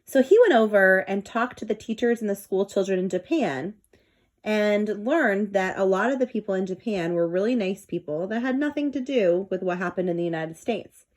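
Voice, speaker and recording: A woman with a mid-pitched voice reads aloud as a storyteller for children. She moves between book narration and simple explanatory asides to her young listeners. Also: normal speed, darkish tone.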